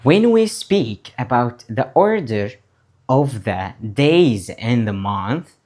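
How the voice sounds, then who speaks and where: A man teaching a beginner lesson, saying words slowly and repeating them for learners to copy. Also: medium pitch, very animated, a little mellow. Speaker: English teacher. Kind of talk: slow beginner English lesson, drilling words.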